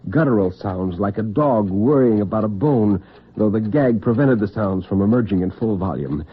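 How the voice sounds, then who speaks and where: A husky male narrator tells a suspense story in dramatic old-time radio style. His delivery is deliberate and intense, with wide swings in pitch, and the sound is thin and tinny like a vintage broadcast. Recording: tinny, band-limited vintage radio recording.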